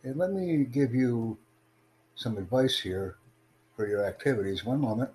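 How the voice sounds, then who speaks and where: A man hosting a livestream podcast, addressing callers in a deliberate, instructive way. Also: medium pitch, animated, a little mellow. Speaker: podcast host. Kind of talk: livestream chat with online callers. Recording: clearly noisy, humming background.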